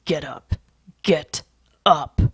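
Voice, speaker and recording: Audiobook villain, female performer: menacing, exasperated man, low and very gravelly, vicious temper under a cold surface. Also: slow, no echo, clean studio sound, exaggerated voice. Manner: threatening, hissing whispers to forceful snarls.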